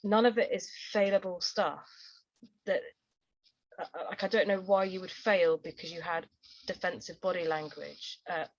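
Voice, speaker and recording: A woman's voice: on the low side, fairly even intonation, moderate pace, no echo. A woman chairing an online panel discussion, talking in a supportive, conversational way. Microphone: video call. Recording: dull, dry video call audio.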